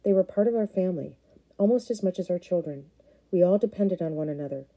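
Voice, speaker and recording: A woman voicing a calm, plainspoken male herder from the far north who talks steadily. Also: sits high, fast, dull audio.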